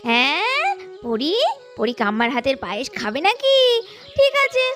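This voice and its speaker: A woman's voice: sits high, huge pitch swings, normal speed, fairly bright tone, soft background music, voice pushed down, exaggerated voice. A woman voicing a busy, affectionate village aunt in a children's doll cartoon, exclaiming and scolding fondly. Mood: fond, teasing.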